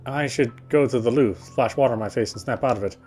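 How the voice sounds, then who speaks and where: A man reads a visual novel's narration aloud during a let's play. His voice has a middling pitch, and game music runs behind it. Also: quick pace, a little mellow.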